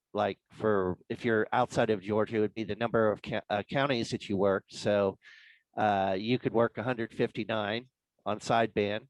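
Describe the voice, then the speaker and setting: Low-voiced man giving an informal club presentation. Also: no echo, rough recording. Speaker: guest speaker presenting contest rules. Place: online club meeting.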